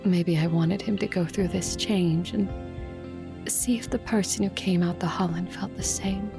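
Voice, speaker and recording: A woman's voice: fairly low pitch, steady tone, normal speed, gravelly. A woman playing a guarded, determined leader in love, acting and narrating an audio drama with intimate restraint. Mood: tender, wistful. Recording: music bed under the voice.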